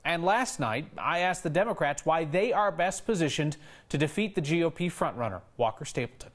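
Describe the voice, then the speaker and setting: A man's voice: on the high side, expressive, brisk. A male news anchor speaking in a conversational broadcast style. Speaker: news anchor and debate moderator.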